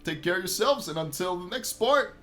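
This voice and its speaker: A man's voice: high voice, lively intonation, brisk, music in the background. A man hosting a gaming video, reading a visual novel aloud and commenting casually in a playful, storytelling way.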